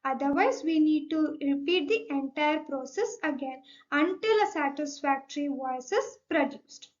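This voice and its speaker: A woman's voice: sits high, expressive, normal speed. A young woman with an Indian accent gives an explanatory slide presentation, methodically signposting each point. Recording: dull, lo-fi microphone sound.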